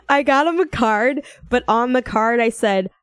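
A woman's voice: high-pitched, no echo. Young American woman, podcast co-host, playful and rambling, giggly, dry close sound. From Minnesota, United States. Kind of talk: casual chat.

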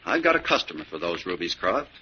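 An actor playing a suave, scheming young heir in a 1940s radio drama, with a theatrical, calculating manner. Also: male voice, low-pitched, big pitch swings, fast, sharp tone, slight background noise, heavily acted. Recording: muffled old 1940s radio recording.

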